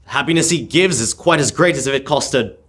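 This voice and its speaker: A man playing a wistful, easily moved old miser in a radio play, halting and exclamatory. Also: medium pitch, a bit bright, slightly rough. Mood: defensive, troubled. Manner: halting, exclamatory, stammering with emotion. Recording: music under the voice. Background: music.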